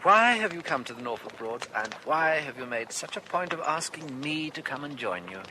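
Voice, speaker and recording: An actor playing a gentle, curious English priest in a radio drama, with a musing, hesitant, wryly humorous manner. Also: male voice, a little high, big pitch swings, moderate pace. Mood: amiable. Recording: very noisy radio drama recording.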